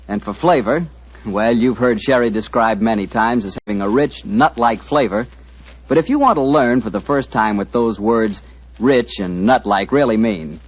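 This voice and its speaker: A man's voice: mid-range voice, breathy edge. A male radio announcer reading a scripted commercial in a folksy, chatty way. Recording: muffled old radio broadcast.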